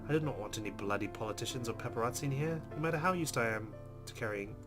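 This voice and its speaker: A man's voice: medium pitch, fast talker. Man reading game narration over music. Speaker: let's play host. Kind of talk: reading visual novel narration aloud with asides. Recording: music behind the voice.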